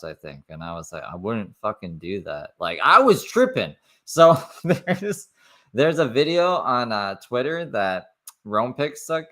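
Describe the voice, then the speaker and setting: A man giving casual, profane, rambling commentary over a livestream, swinging from loud asides to quiet mutters. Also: medium pitch.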